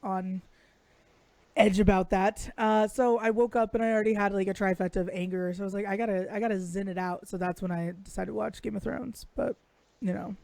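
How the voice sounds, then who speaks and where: Raspy-voiced woman telling stories. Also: medium pitch, fairly even intonation, brisk, a little mellow, no echo. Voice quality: raspy today. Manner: profane, storytelling. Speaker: podcast co-host. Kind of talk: casual chat.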